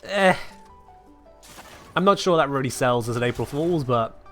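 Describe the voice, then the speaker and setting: A man's voice: mid-range voice, animated, normal speed, a bit bright, faint music behind. A man streaming a game while sick, chatting casually and answering his chat, with a cough now and then.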